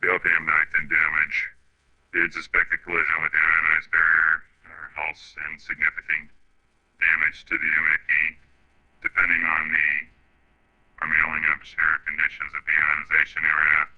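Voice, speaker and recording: Ai guy narrating a document, husky and creaky, muffled lo-fi radio sort of sound. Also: a bass voice, completely flat delivery, normal speed, no echo. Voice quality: synthetic AI voice.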